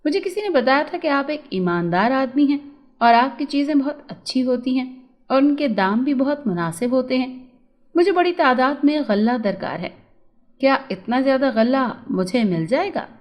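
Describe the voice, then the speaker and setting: A woman voicing a big, wealthy male merchant who speaks formally and with smooth flattery in a storybook tale. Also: high voice, lo-fi sound. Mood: smooth, businesslike. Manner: formal, measured, complimentary.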